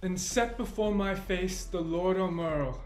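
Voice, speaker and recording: An actor playing a bold, formal courtier making accusations in a declamatory Shakespearean reading. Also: male voice, sits high, measured pace. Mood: accusing.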